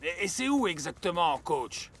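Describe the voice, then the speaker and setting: A man voicing a vain, excitable young soccer star in a French cartoon dub, boastful and quick to take offence. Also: high-pitched, exaggerated voice. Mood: cocky.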